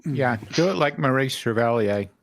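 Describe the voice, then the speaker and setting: A man jokes casually with fellow readers in a group read-aloud. His mid-pitched voice moves up and down in a very animated way. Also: normal speed, dry recording. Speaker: cast member of a fanfiction reading group. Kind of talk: casual comic banter.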